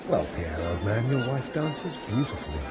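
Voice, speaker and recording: An actor playing a reserved, courteous aristocratic prince in a period radio drama, measured and reflective. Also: male voice, mid-range voice, sing-song, over loud music, a loud crowd, lightly acted. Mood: urbane.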